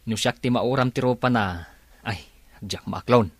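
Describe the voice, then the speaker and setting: A man's voice: mid-range voice, normal speed, slightly rough, no room sound. A man playing a blunt, nosy young male buddy in a radio drama, with a casual manner. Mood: curious, blunt.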